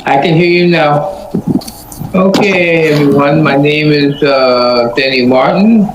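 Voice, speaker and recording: Higher-pitched man in his seventies, echoey. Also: slow pace. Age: 70s. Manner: repeats phrases, plain-spoken. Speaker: retired participant in an English group. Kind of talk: casual chat. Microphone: video call. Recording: echoey online call, faint noise.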